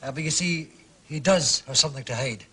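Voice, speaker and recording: A man's voice: fairly high pitch, brisk, bright, forceful tone, slightly rough. An actor playing a chatty, deferential local working man in a radio drama. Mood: gossipy.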